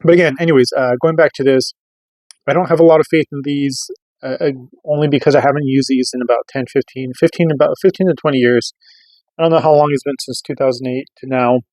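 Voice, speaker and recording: A man's voice: mid-range voice, steady tone, fast, a little mellow, no room sound, low-quality recording. A middle-aged American man giving a casual product review, explaining things in an informal, rambling way. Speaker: radio reviewer.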